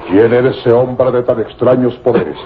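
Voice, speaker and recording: An actor playing a cruel, commanding Bedouin bandit chief in an old radio serial. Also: male voice, medium pitch, moderate pace, noisy background, natural voice. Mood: menacing. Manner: commanding, threatening. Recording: muffled old radio recording with music.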